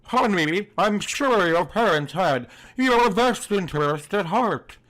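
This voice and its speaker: Man putting on a doting, high-pitched rich wife. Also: expressive, takes it slowly, fairly bright tone, full character voice. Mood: consoling, tearful. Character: syrupy, doting, rich middle-aged Southern wife, soap-opera melodrama.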